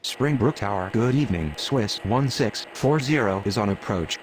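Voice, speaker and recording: A man's voice: on the low side, expressive, moderate pace, noisy background, natural voice. A man playing a plain, businesslike airport radio operator in an aviation game, with formal procedural phrasing. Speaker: air traffic radio voice.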